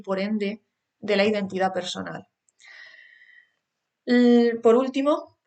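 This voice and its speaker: A young woman presents a literary paper at a conference. Her voice sits at a middle pitch, and she explains with many hesitant restarts between quotations she reads aloud. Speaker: academic presenter. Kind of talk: conference talk reading quotations.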